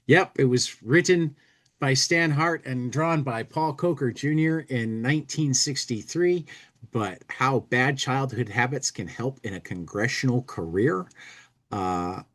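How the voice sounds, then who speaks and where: A man's voice: mid-range voice, measured pace, fairly bright tone. A man co-hosting a comedy podcast, commenting, reading aloud and singing, often repeating words as he feels his way along. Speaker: podcast co-host.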